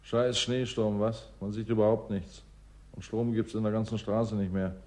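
A surly, stubborn scientist played by a man in a radio play. His voice is on the low side, and he talks brusquely, dismissing everyone with scorn. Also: level tone, moderate pace, darkish tone, lightly acted. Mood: matter-of-fact. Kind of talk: German radio drama acting.

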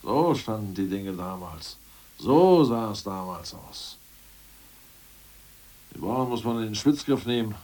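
A man playing a crude, scheming ousted party functionary in a radio play, boastful and plotting, muttering one moment and loud the next. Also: on the low side, animated, slow, long pauses, far from the mic. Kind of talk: 1980s radio play acting.

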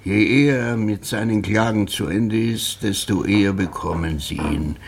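Deep male voice, gravelly, wry gloomy drinker, unhurried, old radio play. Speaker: radio drama actor. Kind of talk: German radio play acting. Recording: faintly noisy old radio recording.

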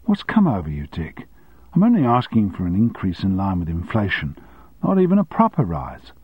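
A man voicing an indignant, sharp-tongued longtime woman secretary with cutting, sarcastic retorts, on a dry, slightly dull recording. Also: low-pitched, wildly swooping pitch, moderate pace, husky, dry recording, heavily acted.

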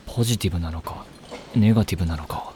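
An actor playing an aloof, sarcastic teenage schoolboy in an anime audio drama, deadpan and snide. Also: male voice, sits low, fast, background noise, natural voice. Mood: dry, sarcastic.

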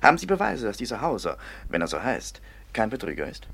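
An actor playing a sceptical, sharp-tongued police commissioner in a radio play. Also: male voice, mid-range voice, wildly swooping pitch, a little fast, creaky, slightly rough, heavily acted. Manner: sceptical, cutting.

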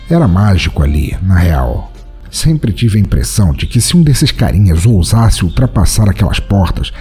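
A man's voice: lowish voice, warm tone. A man playing a cynical, world-weary poet of twenty-nine, narrating a brooding, sardonic first-person audiodrama.

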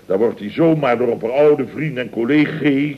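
An actor playing a pompous, easily flustered middle-aged contractor, blustering and stammering in a radio comedy serial. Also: male voice, medium pitch, normal speed, lightly acted. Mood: nostalgic pride. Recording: muffled old radio recording.

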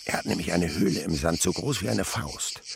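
A man's voice: low-pitched, fairly quick, creaky, noisy background. An actor playing an enthusiastic rural insect researcher and father, lecturing children in a rhapsodic, theatrical way in a radio drama. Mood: rapt, eager. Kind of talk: German radio drama acting.

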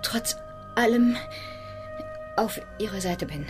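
Radio drama role: proud, earnest seafaring woman, medium pitch, deliberate delivery, clear music underneath. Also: lively intonation. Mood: resolute, grave. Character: determined, proud woman sailor, recovering from injury. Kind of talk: German radio drama acting.

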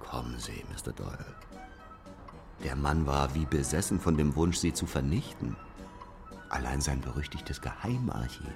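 An actor playing a hard, relentless interrogator in a German radio drama, speaking with sardonic, accusing insistence. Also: male voice, rumbling low, animated, moderate pace, creaky, a little mellow, exaggerated voice. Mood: accusing, insistent. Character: hard, relentless male interrogator confronting an aged knighted author. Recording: long reverb with music behind.

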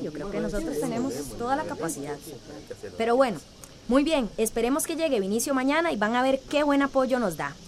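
A Central American village organiser in a radio drama. She speaks in an orderly, reassuring way, in a fairly high voice. A faint ambient noise sits behind her. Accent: Central American Spanish. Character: encouraging, orderly woman leading a village meeting.

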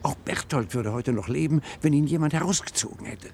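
Earnest professor in a german radio play, gravelly, pushing to persuade, bit of noise. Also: male voice, a little high, expressive, a little fast. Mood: earnest, persuasive. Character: determined male professor, patient at an institute, urgently persuasive.

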